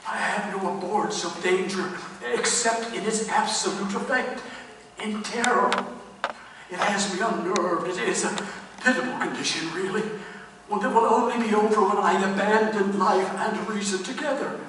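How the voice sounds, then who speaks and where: An actor playing a sickly, agitated, gloomy master of a gothic house in a radio play, halting, theatrical and fretful. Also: male voice, sits high, a bit bright. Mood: dread, despair. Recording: very echoey hall, distant mic, faint noise.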